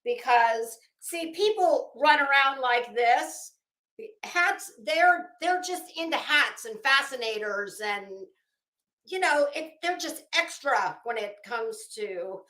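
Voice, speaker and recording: A woman's voice: high-pitched, expressive, slow, pushed and bright. A woman chatting casually as a livestream host, rambling and theatrical, often breaking off mid-thought and starting again. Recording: echoey room sound.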